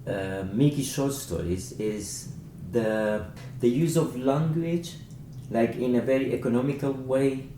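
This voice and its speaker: A man's voice: mid-range voice, a little slow, hardly any pauses, mellow. A man who is not a native English speaker, discussing books informally and searching for his words. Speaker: author discussing a book. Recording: echoey room, distant mic, faint noise.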